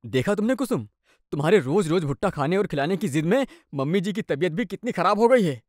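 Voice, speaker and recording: A man's voice: sits high, expressive, fast, no echo, clean studio sound. A man playing a concerned young husband, earnest and insistent, in a cartoon moral story. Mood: scolding.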